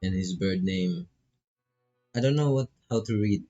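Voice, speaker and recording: Husky, low-pitched Filipino man streaming a game. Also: measured pace, highs cut off. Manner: playful, excitable asides. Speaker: streamer playing a visual novel. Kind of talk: game commentary mixed with casual read-aloud of dialogue. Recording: music behind the voice.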